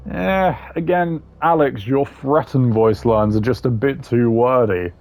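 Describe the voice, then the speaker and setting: A man's voice: mid-range voice, measured pace, a little mellow. A man giving casual, opinionated commentary as a gaming video creator, blunt and informal with plenty of slang. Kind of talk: casual opinionated commentary ranking game voice lines.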